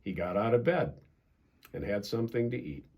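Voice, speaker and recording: A man's voice: on the low side, lively intonation, moderate pace, darkish tone. An older man with an American accent, a pastor reading a children's storybook aloud and chatting casually.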